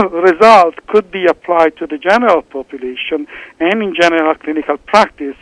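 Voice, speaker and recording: A man's voice: a little high, no echo, low-quality recording. A man from Italy with an Italian accent, a researcher answering interview questions with frequent restarts and fillers. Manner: halting, many restarts and fillers. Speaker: researcher guest.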